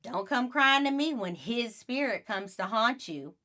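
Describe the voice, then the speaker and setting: A woman's voice: on the high side, lively intonation, unhurried, bright, forceful tone, pitched above the performer's own voice. A woman voicing a teasing, skeptical boy in a storybook, with a taunting, contrary manner. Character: teasing boy, skeptical, spooky-minded sibling.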